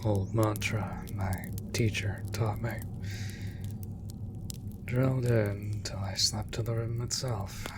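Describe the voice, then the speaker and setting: Man playing a low, slow, stoic monster hunter in rain. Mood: quiet, reflective. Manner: terse, deadpan, measured, soft-spoken. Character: stoic, gentle young male witcher monster hunter. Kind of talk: slow immersive roleplay audio drama. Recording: steady rain noise throughout. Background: rain and cave ambience.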